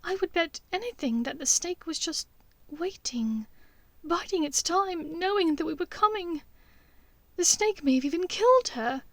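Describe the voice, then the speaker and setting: Woman acting a distraught young witch, high and plaintive. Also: animated, moderate pace, no room sound. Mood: anguished, fearful. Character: distraught young witch, frightened and guilt-ridden. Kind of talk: audiobook character voice.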